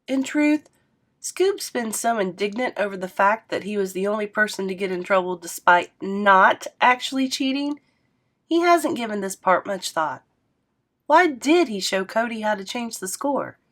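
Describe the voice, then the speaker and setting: A woman reading a middle-grade novel aloud, narrating with expressive lifts for emphasis. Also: mid-range voice, moderate pace. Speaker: read-aloud host.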